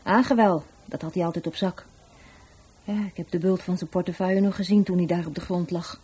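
A woman's voice: fairly low pitch, fairly even intonation, brisk, warm tone, slightly rough. An actress playing a blunt, cynical woman in a radio drama, with a dry, nonchalant way of talking. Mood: dismissive. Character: blunt, offhand, cynical sister of a murder victim.

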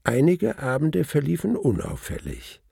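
An actor playing a sober male chronicler, narrating a radio play in with a gravelly, husky voice. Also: a little high, wildly swooping pitch, unhurried, dark tone, dry recording, clean studio sound, exaggerated voice. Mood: measured. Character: sober male chronicler recounting events from records.

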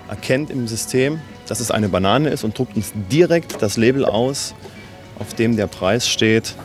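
A man's voice: lowish voice, a little mellow. A man explaining a product demonstration step by step in a matter-of-fact way.

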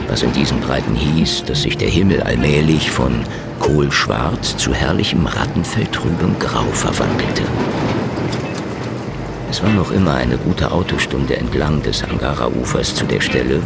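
An actor playing a wisecracking, ironic private detective in a noir radio play, drawling taunts and mock endearments. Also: male voice, rumbling low, gravelly, heavily acted. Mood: weary, dry. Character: sardonic, wisecracking hard-boiled male private detective. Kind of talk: radio play acting with noir first-person narration.

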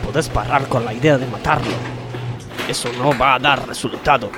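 A man's voice: mid-range voice, moderate pace, over music, natural voice. An Argentine man playing a grandiose, slightly dim ringleader of factory workers in a comedic radio play, persuasive and conspiratorial.

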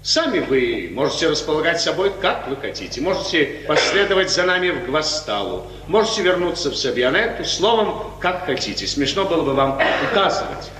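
Suave, imperious prince for vintage radio tragedy: high, animated voice, condescending and commanding, echoing old recording. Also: male voice, brisk, few pauses, slight background noise. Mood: brisk, dismissive. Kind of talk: 1950s radio theatre acting.

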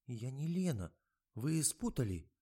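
A man voicing a young woman investigator, speaking in a wry, measured way. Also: medium pitch, sing-song, mellow, slightly rough, pitched above the performer's own voice. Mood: wary. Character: young woman posing as journalist, wary and dry.

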